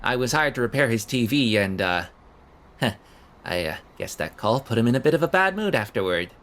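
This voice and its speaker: Casting: young man played by a male actor, nervous, prying and hesitant, very animated mid-range voice for audio drama. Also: medium pitch, moderate pace. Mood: eager, awkward. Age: young adult. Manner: hesitant, stammering, rambling.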